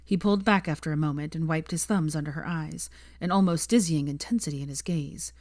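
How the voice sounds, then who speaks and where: Woman audiobook narrator, low register, dry close sound, expressive dramatic reading of fan fiction. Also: a little fast, dry recording.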